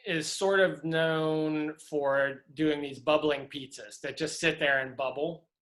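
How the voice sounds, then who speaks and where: A middle-aged man from Louisiana, a prop master telling stories in a relaxed interview over a video call, rambling with many fillers and restarts. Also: a little high, slow pace.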